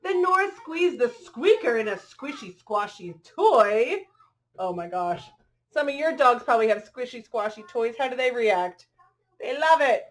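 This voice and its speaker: A woman's voice: a little high, animated, a little slow. A woman reading a children's picture book aloud expressively, adding chatty asides and drawing listeners in with questions and exclamations.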